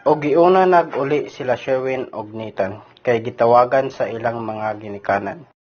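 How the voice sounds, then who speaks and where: A man's voice: medium pitch, moderate pace. A man with a Filipino accent reading scripted narration for an amateur radio drama. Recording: dull lo-fi audio with music bed.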